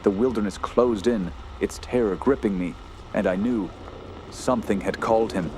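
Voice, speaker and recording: Actor as low-voiced, haunted male horror narrator. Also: background noise, lightly acted. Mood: haunted, solemn. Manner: solemn, dramatic, measured delivery. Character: haunted, fearful male wilderness camper telling his tale. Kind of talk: scripted first-person horror narration.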